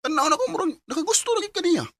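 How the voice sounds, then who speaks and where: A man's voice: very high voice, lively intonation, rapid-fire, a bit bright, no room sound, studio quality. An actor playing a bossy, insistent man in a Filipino radio drama. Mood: urging.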